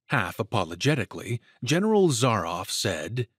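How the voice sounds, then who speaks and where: A man narrates a dramatic story in a husky, mid-pitched voice. His delivery is very expressive, in a close, dry recording. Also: normal speed, no echo.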